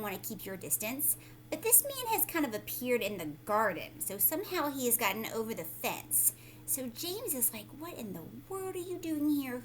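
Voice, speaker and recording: Expressive woman teacher reading aloud. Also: a little high, slight background noise, poor audio. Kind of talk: children's read-aloud with explanatory asides.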